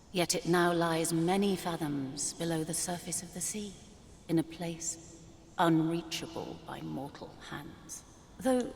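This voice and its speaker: An actress playing a serene, knowing oracle in a fantasy video game, speaking in solemn, measured, archaic English. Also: female voice, on the low side, darkish tone. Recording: long reverb, faint noise.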